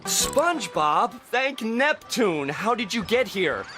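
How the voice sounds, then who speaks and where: A man's voice: high-pitched, big pitch swings, slow pace, over music, full character voice. A man voicing a distressed, complaining fish held captive in a cartoon game, melodramatic and plaintive. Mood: relieved, pained.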